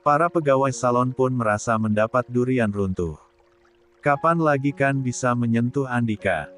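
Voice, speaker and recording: A man reads a story aloud as a scripted, dramatic narration. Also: mid-range voice. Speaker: audiobook narrator. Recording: music bed behind the voice.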